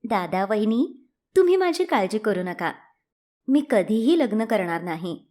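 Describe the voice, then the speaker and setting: A woman's voice: mid-range voice, big pitch swings, a little slow, warm tone. A woman voicing a pious, resolute young unmarried woman in a storybook tale, earnest and firm. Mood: calm, devout, firm. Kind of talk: storybook character acting.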